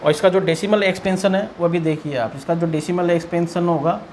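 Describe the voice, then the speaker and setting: A man's voice: high voice, fairly even intonation, brisk, darkish tone, slight background noise. An Indian man teaching maths in an explanatory lesson style, asking and answering his own questions and repeating key points.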